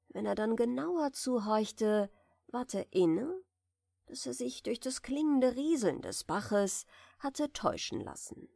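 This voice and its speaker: Soft, slow-reading woman telling bedtime tales. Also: a little high, gravelly, no room sound, clean studio sound. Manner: soft, soothing, deliberately gentle delivery. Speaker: audiobook reader. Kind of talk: gentle bedtime fairy tale narration.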